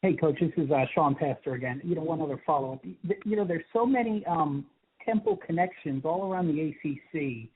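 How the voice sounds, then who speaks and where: A man, a sports reporter, asking a rambling question on a press call, halting with many "you know" fillers. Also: on the high side, lively intonation. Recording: phone line with hiss.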